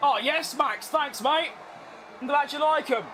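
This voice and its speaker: Streamer, British man, worn out after a long day, rambling and self-mocking, pitched very high. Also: unhurried, noisy background. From Britain. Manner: chatty, rambling, self-deprecating. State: tired from being awake all day. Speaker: gaming streamer. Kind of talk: casual live-stream gameplay chat.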